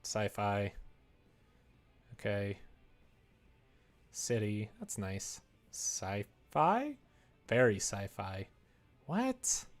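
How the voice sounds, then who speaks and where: Man, heavy vocal fry, slow unhurried pace, casual livestream chat, thinking aloud, playful muttering. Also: on the low side, wildly swooping pitch, soft background music. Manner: muttering to self, playful asides and sound effects. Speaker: livestreamer making a visual novel.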